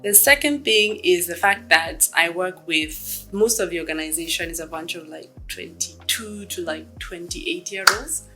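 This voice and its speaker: Bright-voiced woman from Kenya. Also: lowish voice, soft background music, rough recording. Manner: reflective, measured, frequent ums. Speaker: startup executive. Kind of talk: interview answers.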